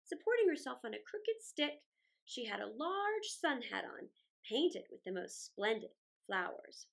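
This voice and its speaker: A middle-aged woman reading a children's story aloud indoors, with deliberate, dramatic phrasing and drawn-out words. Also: high-pitched, big pitch swings, vocal fry. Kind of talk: expressive read-aloud storytelling. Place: small indoor room, plain wall.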